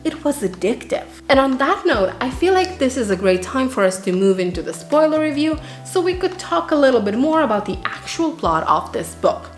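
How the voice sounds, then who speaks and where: Lady doing a book review, highish voice, faint music behind her. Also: roomy sound. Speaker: book reviewer, video host.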